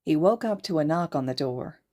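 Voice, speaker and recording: A woman's voice: sits low, expressive, fairly quick, dark tone. A woman reading a story aloud as a podfic narrator, in scripted narration. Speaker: podfic reader and host.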